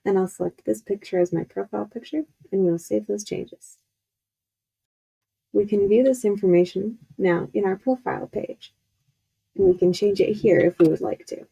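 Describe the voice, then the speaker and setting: Very mellow, husky young woman. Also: mid-range voice, moderate pace, rough recording. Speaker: student demo presenter. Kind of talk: software demo walkthrough.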